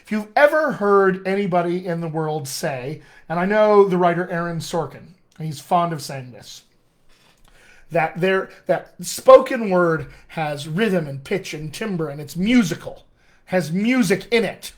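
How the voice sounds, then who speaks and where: A middle-aged American man, a dialect coach, teaching a live online class in an animated, friendly way, often calling his viewers "my friends.". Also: high-pitched, a little slow. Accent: American English. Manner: animated, addresses viewers as "my friends", chuckles at own asides. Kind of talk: live-streamed teaching session.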